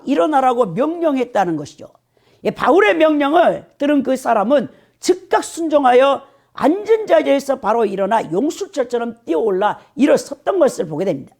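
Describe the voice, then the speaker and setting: A middle-aged woman preaching with a Jeolla accent. She speaks in a high voice that rises and falls in big, lively swings. Her delivery is emphatic, and she keeps calling out to her congregation. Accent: Jeolla Korean. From Jeolla, South Korea. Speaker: pastor. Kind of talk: sermon.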